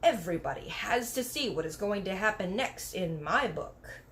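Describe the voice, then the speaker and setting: A woman voicing an excitable little boy who speaks in childlike broken grammar, in a storybook read-aloud. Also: mid-range voice, normal speed. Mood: excited. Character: excitable little boy telling fanciful dreams.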